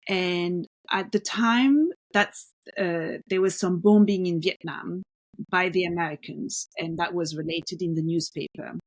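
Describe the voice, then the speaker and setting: A woman's voice: on the low side, unhurried. A woman co-hosting a podcast, talking casually about an art exhibition, explaining at length with many fillers and restarts. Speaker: podcast co-host.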